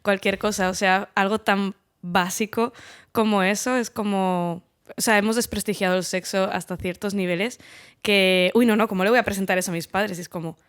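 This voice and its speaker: A woman's voice: mid-range voice, steady tone, moderate pace, clear, resonant tone. A woman talking casually as a panelist in a group podcast conversation.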